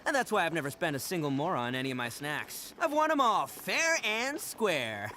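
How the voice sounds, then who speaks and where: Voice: mid-range voice, sing-song, normal speed, pushed and bright, slight background noise. An actor playing a smug, playful braggart in a video game, with a theatrical way of talking. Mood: gloating. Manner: boastful, theatrical. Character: boastful, playful, smug snack champion.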